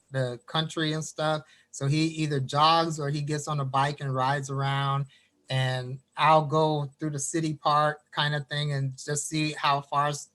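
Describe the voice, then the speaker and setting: A man from Indiana, a poet, reading his poem and then chatting about his writing over a video call. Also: a little high. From Gary, Indiana. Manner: animated storyteller, quick bursts. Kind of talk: poetry reading, then casual chat.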